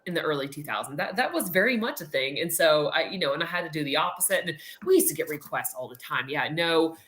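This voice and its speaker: A middle-aged American woman speaks in a low register with a bright, forceful edge. She tells stories conversationally as a guest and leans on words for emphasis. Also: lively intonation, quick pace. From United States. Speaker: podcast guest, recruiter. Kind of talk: casual conversational anecdotes.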